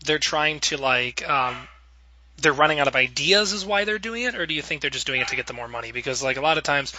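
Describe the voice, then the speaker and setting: A man hosts a casual discussion show. His voice is very bright and slightly high, and he talks fast. He rambles amiably through questions for the group. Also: animated, slight background noise, rough recording. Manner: rambling, chatty, acts out imagined quotes. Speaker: podcast host. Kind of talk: casual panel discussion, asking guests questions.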